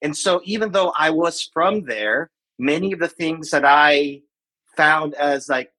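Male podcast guest, forties, bright forward voice, slightly high, casual chat. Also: measured pace. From Philippines. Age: 40s.

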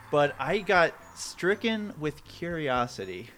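Animated, higher-voiced man bantering on a podcast. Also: slow pace, faint music behind. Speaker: podcast co-host. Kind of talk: comedic casual storytelling and banter.

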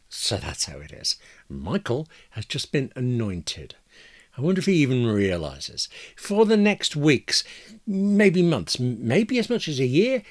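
A man's voice: mid-range voice, heavily acted. A man voicing a bitter, anxious woman in a dramatic first-person story, with a wry, agitated, self-interrupting manner. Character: bitter, anxious woman stewing after losing her job.

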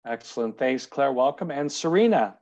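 A man hosting a podcast, introducing his guests in a measured, welcoming way. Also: mid-range voice, expressive, a little mellow, lo-fi sound. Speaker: podcast host.

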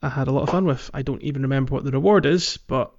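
A man hosting a podcast, chatting casually about a video game in a hesitant, halting way with repeated words. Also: medium pitch, no room sound, dull audio. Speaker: podcast host.

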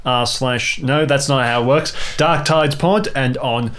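A man's voice: mid-range voice, unhurried, a bit bright. A man bantering casually as a podcast cast member, joking in a playfully mock-formal way.

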